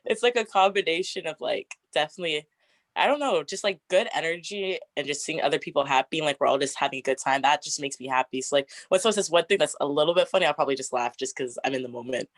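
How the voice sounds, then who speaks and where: Young woman, 20s, deep raspy voice, chatty guest, dry close sound. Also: animated, quick pace, dry recording. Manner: chatty, frequent "like" fillers, laughs often. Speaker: interview guest. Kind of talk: casual get-to-know-me interview.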